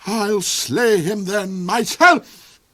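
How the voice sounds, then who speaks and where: A man's voice: sits high, extremely slow, a bit bright, voice pushed up, exaggerated voice. A man voicing a cold, aggressive villain of a duke in a storybook reading, declaiming melodramatically with snarls and squeals. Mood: frantic boasting.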